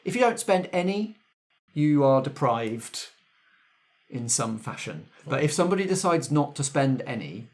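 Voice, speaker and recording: A man's voice: medium pitch, big pitch swings, moderate pace. Conversational man running a tabletop game. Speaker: game master.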